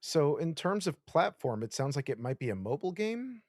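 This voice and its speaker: A man chimes in on a podcast chat with brief reactions and a few teasing jabs. His voice moves up and down in a lively way. The sound is dry and close-miked. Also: on the high side, moderate pace, dry recording. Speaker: podcast co-host.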